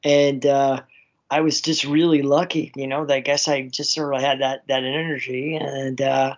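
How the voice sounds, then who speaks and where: A man's voice: medium pitch, flat delivery, moderate pace, muffled recording. A middle-aged Canadian man chatting casually and effusively as an interview guest, rambling with frequent filler phrases. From small town near Vancouver, Canada.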